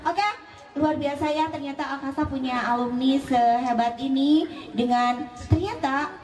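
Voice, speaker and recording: A woman's voice: sits high, moderate pace, a little mellow, echoey room, far from the mic. An Indonesian woman hosting at an event, bubbly and teasing, mixing Indonesian and English. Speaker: host.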